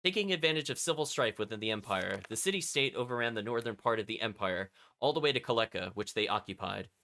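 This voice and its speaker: A man's voice: mid-range voice, expressive, quick pace, bright, forceful tone. A man streaming a video game, reading its text aloud and chatting with casual asides to his viewers. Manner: chatty, often commenting as an aside.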